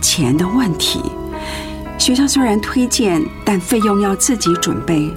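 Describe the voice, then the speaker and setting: A woman's voice: mid-range voice, expressive, a little slow, close to an everyday voice. An actress playing a doting, fussy older mother and grandmother in a radio drama. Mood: subdued, reflective. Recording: music behind the voice.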